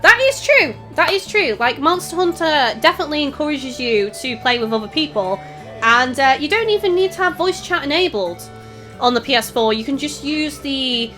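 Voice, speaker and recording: A high-voiced woman hosts a casual game livestream in a Scouse accent with a little Welsh in it. She projects her voice as she reads out chat and comments, with music playing underneath. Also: expressive, normal speed, a bit bright. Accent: Northwest English Scouse with a touch of Welsh. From Northwest England. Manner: raised, projecting streamer voice. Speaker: livestream host, gamer. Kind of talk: casual live gaming commentary, reading out chat. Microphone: desktop USB microphone.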